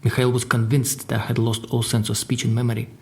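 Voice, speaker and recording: An actor playing a crime boss's guarded secretary in an audio drama, telling his story in a dry, deliberate way. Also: medium pitch, monotone, a little mellow, natural voice. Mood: grave, measured. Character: formidable Russian crime boss's secretary, guarded male survivor. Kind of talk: audio drama dialogue and narration.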